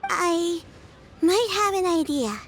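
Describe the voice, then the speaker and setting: A woman's voice: very high-pitched, lively intonation, takes it slowly, slight background noise, full character voice. A woman voicing a sweet, resilient, polite young child in a video game, speaking earnestly with some hesitation. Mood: hesitant, grateful. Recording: music behind the voice.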